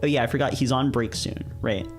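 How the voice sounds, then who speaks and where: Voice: mid-range voice, brisk, soft background music. Casual streamer reading aloud, mid-range voice. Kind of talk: livestream read-aloud with casual chat.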